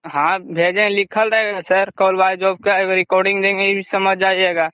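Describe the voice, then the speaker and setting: A man working as a recruiter, making an unscripted pitch over the phone. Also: little pitch movement, normal speed, no echo. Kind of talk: unscripted sales phone call. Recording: tinny, narrowband phone line.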